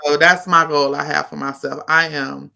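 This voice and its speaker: A woman in her fifties, a nurse educator, talking in a relaxed, reflective way as an interview guest. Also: low-pitched, moderate pace, vocal fry. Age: 50s. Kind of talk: casual interview conversation.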